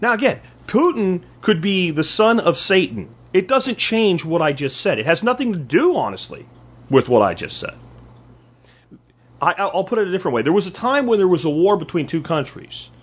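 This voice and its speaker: A man's voice: high voice, sing-song, normal speed, husky, lo-fi sound. A man hosting a podcast, delivering an opinionated political monologue in an emphatic way, with rhetorical questions and repeated phrases. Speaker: podcast host.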